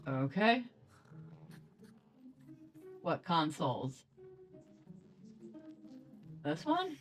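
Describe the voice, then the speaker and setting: A woman's voice: deep voice, huge pitch swings, extremely slow, faint music behind. A woman giving casual let's play commentary as a game streamer, sometimes reading quest text aloud.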